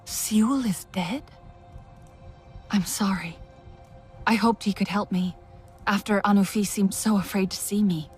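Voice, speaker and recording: A woman acts a young alien seeker in a video game. She sounds earnest and questioning at a middle pitch, a little unsure of herself, over faint music. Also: a little slow, long pauses, lightly acted. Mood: searching, earnest. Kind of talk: video game character acting.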